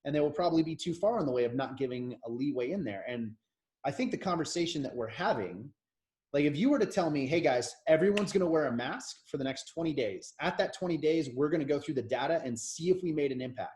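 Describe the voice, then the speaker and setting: A man's voice: fairly high pitch, expressive, fast talker, darkish tone. An American man in his thirties co-hosting a podcast, talking politics in an opinionated, rambling way with frequent restarts. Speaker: podcast co-host. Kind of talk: casual political chat.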